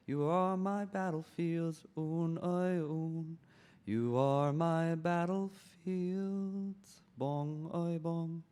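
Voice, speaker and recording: A man voicing a brooding monkey king torn by forbidden love, his voice sitting a little high for a man. He takes his time, drawing out each chanted refrain in a slow, singing way. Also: darkish tone, clear, resonant tone. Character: lovesick monkey king, male adult, brooding. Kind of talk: table read of a stage play, with sung verses.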